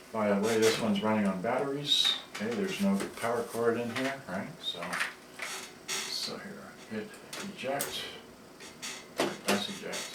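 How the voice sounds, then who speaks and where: A man giving a casual, unscripted demonstration as a repairer, halting and muttering his thoughts aloud as he handles gear. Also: on the low side. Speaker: repairer demonstrating cassette players. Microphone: camera on tripod at some distance. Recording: roomy, distant mic, loud background noise.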